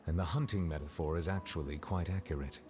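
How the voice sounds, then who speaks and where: Low-voiced solemn game character acted by a man, formal, deliberate, measured, faint music. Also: a little mellow, close to an everyday voice. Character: grave, principled adult man, measured and formal.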